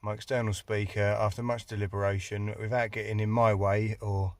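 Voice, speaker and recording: Low-voiced British man, rambling and wry. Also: level tone, fairly quick, no room sound. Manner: rambling, wry, self-mocking grumbling. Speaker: hobbyist filming a car radio install. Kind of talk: casual DIY vlog chat. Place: inside a car.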